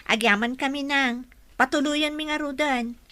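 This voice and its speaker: A woman's voice: high voice, steady tone, moderate pace, sharp tone, dry recording. An actress with an Ilocano Filipino accent playing a polite young female office employee and dutiful daughter in a radio drama. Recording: noisy radio drama with vehicle ambience.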